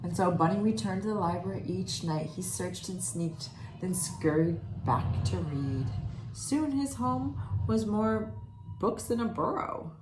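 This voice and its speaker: A woman reading a children's picture book aloud expressively, pausing to comment and put questions to her listeners. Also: fairly low pitch, lively intonation. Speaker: read-aloud storyteller. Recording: roomy echo, faint background noise.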